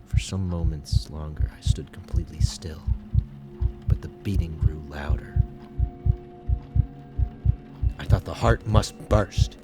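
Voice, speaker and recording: An actor playing an obsessive madman who confesses to murder in intense, theatrical gothic narration, swinging between hushed and loud delivery. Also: rumbling low, unhurried, loud music behind the voice. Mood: ominous. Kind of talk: dramatic first-person gothic narration, audio drama.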